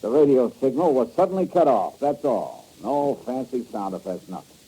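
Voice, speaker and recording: An actor playing a tough, wry insurance investigator in a 1950s radio detective drama, blunt and sardonic in delivery. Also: male voice, mid-range voice, sing-song, normal speed, warm tone, a little hiss or hum, lightly acted, rough recording. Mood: sardonic accusing.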